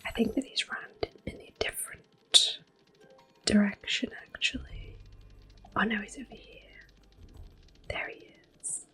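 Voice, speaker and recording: A woman hosting soft-spoken ASMR gameplay commentary, whispering gently as she plays. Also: medium pitch, fairly even intonation, unhurried, pauses often, rough recording. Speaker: ASMR gaming host. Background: game music.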